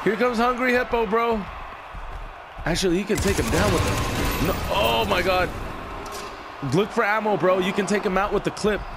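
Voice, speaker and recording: A man with a stuffy nose, excitably joking and teasing while watching a friend play a shooter game. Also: sits high, moderate pace, gravelly, pauses often, heavy background noise. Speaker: gaming co-commentator. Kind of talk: casual gameplay banter. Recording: game sounds loud behind.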